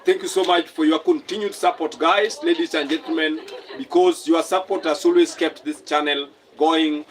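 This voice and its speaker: A man's voice: fairly high pitch, fairly even intonation, normal speed. Kenyan man chatting on a live stream. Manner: reads viewer comments aloud and repeats phrases. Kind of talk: casual live-stream chat.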